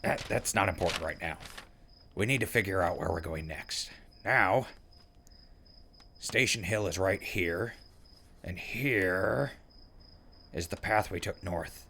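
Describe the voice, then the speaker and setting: A man's voice: low voice, slow, gravelly, pauses often, sharp tone, a little hiss or hum, exaggerated voice. A man playing a wry, weary wasteland survivor who runs a radio station, speaking casually and hesitantly in an audio drama. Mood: businesslike. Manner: casual, hesitant, dryly sarcastic. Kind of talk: naturalistic audio drama acting.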